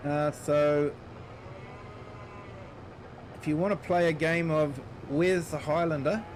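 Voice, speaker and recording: Man, New Zealand accent, middle-aged, unhurried, higher voice, relaxed chat with viewers, game noise behind. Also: low-quality recording. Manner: relaxed, chatty, greets viewers by name. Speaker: gaming streamer. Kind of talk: casual live-stream chat while playing.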